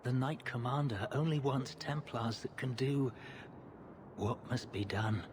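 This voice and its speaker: A man's voice: medium pitch, measured pace, lightly acted. Uneasy dutiful game knight guy, voiced by an actor, careful, noisy ambience behind him. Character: cautious, dutiful male knight recruit. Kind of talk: video game dialogue acting.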